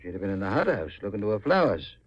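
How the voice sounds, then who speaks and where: A man's voice: on the low side, big pitch swings, fast, a crowd in the background. An actor playing a plain-spoken man in a 1950s radio mystery. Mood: matter-of-fact. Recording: muffled, noisy 1950s radio recording.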